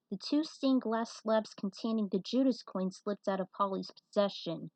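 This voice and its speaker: A woman's voice: mid-range voice, dry recording. A woman narrating fan fiction in an amateur, dramatic story-reading style. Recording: dull, muffled lo-fi audio.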